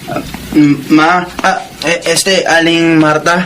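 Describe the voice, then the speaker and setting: A man's voice: on the high side, little pitch movement, slow pace, fairly bright tone, a little hiss or hum. A man acting a polite, hardworking young Filipino son-in-law, deferential and hesitant, in a radio drama. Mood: meek, helpful.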